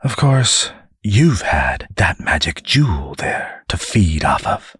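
Breathy sneering demon, bloodstained and mocking, storybook villain, a man reading him, husky. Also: low-pitched, very animated, slow, fairly bright tone, no echo, clean studio sound, heavily acted. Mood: gloating.

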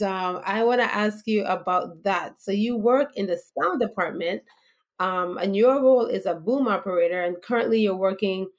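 A woman hosting a podcast, interviewing a guest in a slow, deliberate, conversational way. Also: mid-range voice.

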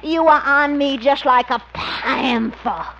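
Vintage radio sitcom sweetheart: coy, gushing flirt, high-pitched, leisurely cooing delivery. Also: female voice, slow pace. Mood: coy, adoring. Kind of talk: 1950s radio comedy acting. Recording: muffled, noisy old radio recording.

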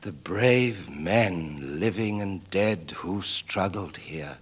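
Radio drama valet: English, loyal, polite, torn, unhurried and measured. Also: male voice, low-pitched, lively intonation, husky, exaggerated voice. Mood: solemn recitation. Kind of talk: 1940s radio acting.

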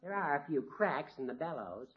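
An actor playing a genial old small-town judge with a dry, joking manner in a 1950s radio comedy. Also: male voice, on the high side, big pitch swings, moderate pace. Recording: dull lo-fi old radio recording.

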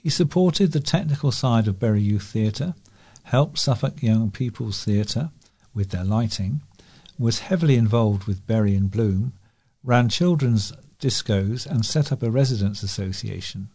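A man's voice: fairly low pitch, a little slow, a little mellow, husky. A man with a British accent reading scripted local news as a volunteer newsreader.

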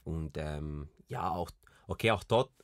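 Deep-voiced young man from Colombia. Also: a little slow, dry recording. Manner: rambling, many restarts and fillers. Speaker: podcast co-host. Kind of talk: casual chat.

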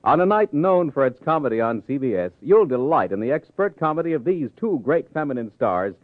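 A man's voice: on the high side, big pitch swings, fairly quick, no echo. A man announcing in the scripted style of 1950s network radio. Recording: tinny vintage radio broadcast.

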